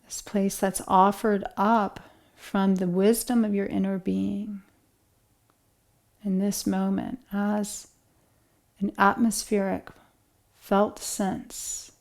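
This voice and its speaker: A woman guides a relaxation meditation in a gentle, calming manner. Her delivery is very slow, with long pauses between phrases. Her mid-pitched voice often settles into a noticeable creak. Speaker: yoga and meditation instructor.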